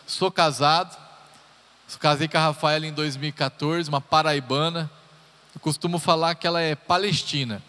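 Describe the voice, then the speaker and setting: Pastor, man in his thirties, relaxed and joking from the pulpit; slightly high voice, live hall reverb. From Brazil. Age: 30s. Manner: chatty and joking, talks straight to the congregation. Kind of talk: sermon introduction, personal stories. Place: church hall.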